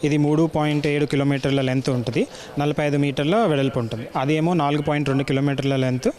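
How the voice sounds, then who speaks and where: A man with a slightly high voice and an Indian accent gives explanatory interview answers as an air traffic controller. He speaks haltingly, feeling his way through each point and dropping in English terms. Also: steady tone, darkish tone. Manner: halting, hesitant delivery mixing in English terms.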